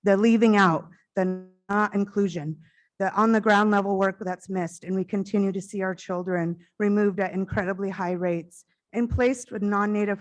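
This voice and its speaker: A middle-aged woman with a loud voice giving an earnest, heartfelt conference address into a stage microphone, sometimes speeding up in quick bursts. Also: mid-range voice.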